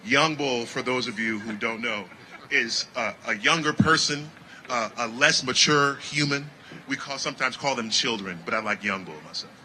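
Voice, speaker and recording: Jazz musician guest, bright and lively, faint outdoor crowd hum. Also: male voice, mid-range voice, normal speed, low-quality recording. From Connecticut, United States. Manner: animated, preachy, repeats "you know what I mean". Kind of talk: casual outdoor festival interview.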